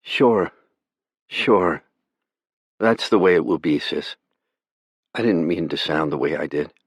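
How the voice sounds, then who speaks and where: A man doing a gentle grieving brother. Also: low voice, slow pace, husky, no echo, heavily acted. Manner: gentle, soft, holding back pain.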